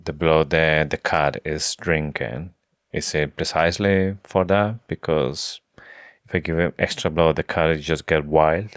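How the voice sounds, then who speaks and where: A man who is a non-native English speaker, co-hosting a casual book discussion podcast with somewhat halting phrasing. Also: rumbling low, steady tone, dry recording, studio quality. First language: not English. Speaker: podcast co-host.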